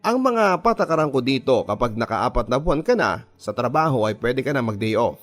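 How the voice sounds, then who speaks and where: A man's voice: mid-range voice, very animated, brisk. Guy acting out a big-voiced farm boss in his forties, stern, businesslike, radio drama with music. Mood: firm, businesslike. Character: forty-year-old male farm boss, stern but fair.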